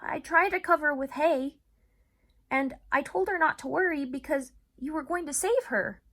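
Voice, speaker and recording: A woman's voice: high voice, normal speed, fairly bright tone, pitched above the performer's own voice. A woman voicing a loyal, caring and bossy male dog in an earnest children's storybook read-aloud.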